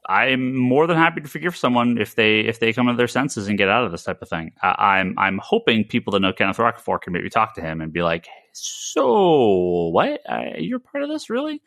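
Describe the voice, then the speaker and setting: Male American podcast host, lowish bright voice, stammering repeats, emphatic opinions in casual talk. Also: big pitch swings, normal speed, vocal fry, few pauses, no room sound, studio quality. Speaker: comic shop retailer.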